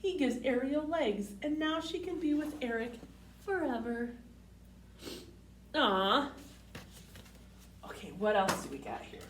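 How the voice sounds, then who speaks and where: Slow, lilting woman reading to children, roomy sound. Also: high-pitched. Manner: simple short sentences, expressive, addresses children with questions. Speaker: library storytime host reading picture books. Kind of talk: children's read-aloud with asides to young listeners. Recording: roomy, distant mic, faint background noise.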